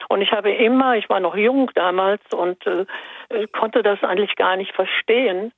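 A woman in her eighties from Italy, whose first language is Italian, calls in to a radio show and speaks hesitantly with many pauses and fillers. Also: medium pitch, dry recording. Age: 80s. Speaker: caller. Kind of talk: radio call-in. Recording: dry phone line.

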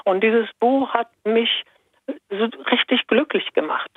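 Italian-born lady in her 80s phoning in, slow and hesitant, lots of ums, sounds like a thin dry phone call. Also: on the high side, dry recording. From Italy. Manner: hesitant, halting, many fillers. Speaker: caller. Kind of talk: radio call-in.

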